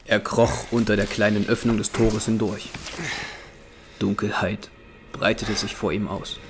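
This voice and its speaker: Low-voiced male horror narrator. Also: flat delivery, a little slow, background noise. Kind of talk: scripted narration of a horror audio drama.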